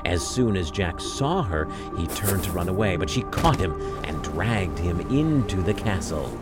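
A man narrating a scripted fairy tale as storyteller, dramatic and measured. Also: deep voice, big pitch swings, loud music behind the voice.

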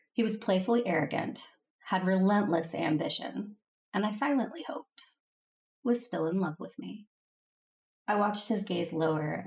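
A woman's voice: fairly low pitch, creaky, darkish tone. A woman playing an earnest, devoted female Jedi Master, narrating an audio drama in the first person.

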